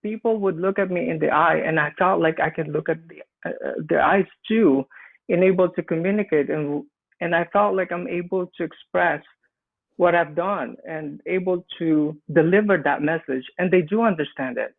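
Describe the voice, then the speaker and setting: Earnest Filipino-accented male panelist, slightly raised pitch, phone-quality audio. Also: gravelly. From Philippines. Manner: earnest, halting with frequent restarts. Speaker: immigrant small business owner. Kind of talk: panel discussion storytelling. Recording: tinny phone-like line.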